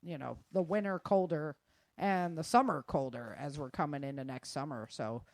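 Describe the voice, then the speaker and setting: A woman's voice: low voice, moderate pace, darkish tone, no room sound. Casual woman podcast host, dry close sound. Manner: conversational, addressing listeners informally. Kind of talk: casual news roundup on disasters and weather.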